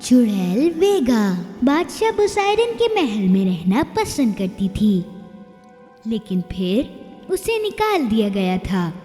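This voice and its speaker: A woman storyteller narrates a children's fairy tale in a high, soft-toned voice with lively swings in pitch. She takes her time. The recording has long reverb and faint music behind it. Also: slow pace.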